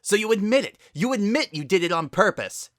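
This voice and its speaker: A man's voice: high voice, animated, fairly quick, dry recording, studio quality, voice pushed up. A man playing a tough, hostile female pegasus pony, scornful and accusing, in a dramatic story reading. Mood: angry accusing. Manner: forceful, scornful.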